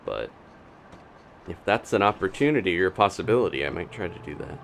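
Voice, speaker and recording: Low-voiced man with lively, gravelly delivery. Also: fairly quick, a little hiss or hum. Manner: musing to himself, thinking aloud. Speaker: video game streamer. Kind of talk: casual live gameplay commentary.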